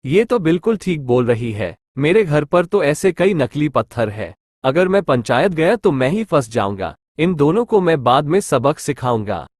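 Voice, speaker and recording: Cunning cartoon merchant male actor, sly and greedy, lively melodic delivery, close dry sound. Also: a little high, normal speed, no room sound. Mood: nervous, scheming. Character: greedy, cunning village merchant swindler, a man. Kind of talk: Hindi cartoon story dialogue.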